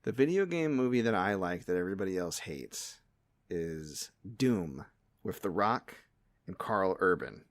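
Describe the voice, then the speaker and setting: Male, late thirties, lowish voice, dry close sound, casual podcast co-host chat. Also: animated, unhurried, dry recording. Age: late 30s. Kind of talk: casual chat about movies.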